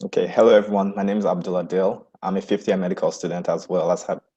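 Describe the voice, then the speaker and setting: Young guy, student guest on a livestream, really low husky voice introducing himself. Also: fairly even intonation, quick pace, mellow, muffled recording. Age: young adult. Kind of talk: self-introduction in a live chat.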